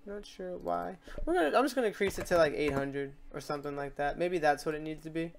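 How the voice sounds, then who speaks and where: A tutorial host walking through software steps in an informal way, thinking aloud while demonstrating. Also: mid-range voice, fast talker, creaky. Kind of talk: casual screen-recorded software tutorial.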